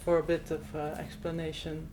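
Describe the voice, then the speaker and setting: A woman's voice: low-pitched, completely flat delivery, moderate pace, noisy background. A Dutch woman with a Dutch accent, speaking English as a second language while hosting an interview, hesitant with many fillers. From Netherlands. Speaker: host. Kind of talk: conversational interview. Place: Netherlands.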